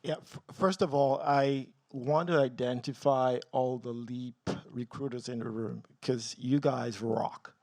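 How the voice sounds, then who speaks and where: A man's voice: medium pitch, steady tone, unhurried, hardly any pauses, darkish tone, no echo. Earnest Nigerian-born man on a panel. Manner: earnest, gets emotional, many ums. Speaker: tech team leader on a panel. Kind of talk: personal story on a panel.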